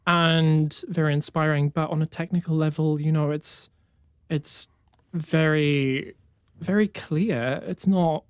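Young conductor from new zealand, afrikaans first language, eager and halting, lots of ums, dry close sound. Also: mid-range voice, steady tone, clean tone, dry recording. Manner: enthusiastic, halting, many fillers. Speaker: conducting student, guest. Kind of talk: casual interview answers.

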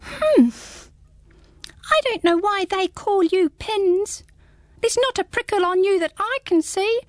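A woman voicing a small, talkative, cheeky hedgehog in a storybook radio reading, with a high squeaky voice. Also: animated, slow pace, no room sound, clean studio sound, full character voice. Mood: curious. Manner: fussy, talkative.

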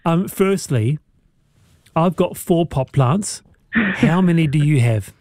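A man's voice: fairly high pitch, animated, slow, darkish tone, no room sound, clean studio sound. A man hosting a radio talk show with enthusiastic, exclaiming delivery. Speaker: radio host. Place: radio studio.

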